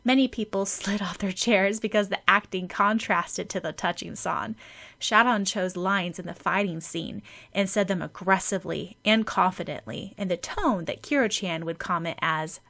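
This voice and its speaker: A woman's voice: mid-range voice, normal speed, gravelly, pushed and bright. A woman reading scripted story narration as an audiobook narrator.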